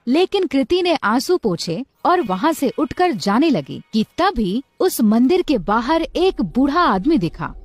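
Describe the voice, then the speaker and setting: A woman storyteller giving an expressive scripted narration of a story. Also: high-pitched, dry recording.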